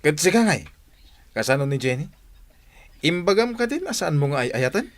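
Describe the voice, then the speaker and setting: A man playing a troubled young man in a radio comedy drama, confiding earnestly with sudden loud bursts. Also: fairly high pitch, sing-song, normal speed. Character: young man torn over an arranged engagement.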